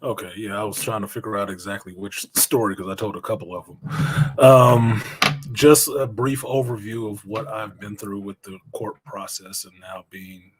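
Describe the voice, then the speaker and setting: A man's voice: lowish voice, steady tone, moderate pace, slight background noise, rough recording. A middle-aged man speaking as an interview guest, telling his own story in a blunt, plainspoken way and cursing now and then.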